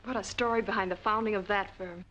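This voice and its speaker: Lady playing wry old-movie girl reporter, talks super fast, slight hiss. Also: medium pitch, steady tone, a bit bright, highs cut off, lightly acted. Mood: wry, brisk. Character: wry, quick-witted newspaper woman. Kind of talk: 1940s film noir acting. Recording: noisy 1940s film soundtrack.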